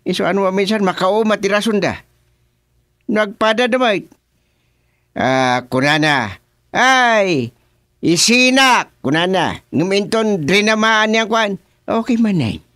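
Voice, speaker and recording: A man's voice: sits high, expressive, measured pace, long pauses, pushed and bright, no echo, studio quality, exaggerated voice. A man playing a family father in a radio drama comedy, with an Ilocano accent. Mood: musing. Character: family father musing over a couple's quarrel.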